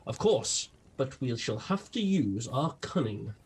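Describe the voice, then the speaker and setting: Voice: mid-range voice, sing-song, a little slow, darkish tone, husky, exaggerated voice. A performer playing an eccentric, gluttonous, unhinged detective in a comic radio play, grandiloquent, with a British accent. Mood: breezy. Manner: grandiloquent, theatrical.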